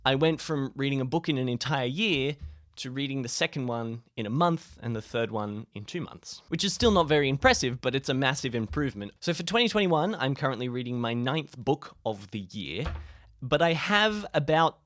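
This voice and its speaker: A man's voice: mid-range voice, animated, moderate pace, a bit bright, no echo. Wry young man, very dry close recording. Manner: self-deprecating, wry. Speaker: video host. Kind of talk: casual advice vlog to camera.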